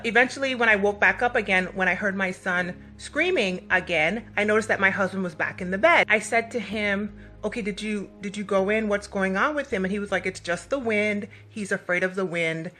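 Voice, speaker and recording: A woman's voice: mid-range voice, pushed and bright. A woman vlogger telling personal stories with a faith message, emphatic and fond of acting out dialogue and asking rhetorical questions. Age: adult parent of a young child. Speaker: vlogger giving a motivational faith talk. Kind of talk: animated personal storytelling with faith encouragement. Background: background music.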